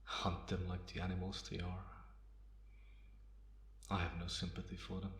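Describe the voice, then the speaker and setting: Man playing a deep, brooding centuries-old vampire. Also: monotone, a bit bright. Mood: cold, resigned. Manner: slow, brooding, measured delivery with pauses. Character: centuries-old brooding male vampire, vengeful, bitter, guarded. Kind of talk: ASMR roleplay, dramatic monologue to the listener. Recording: roomy, echoing sound.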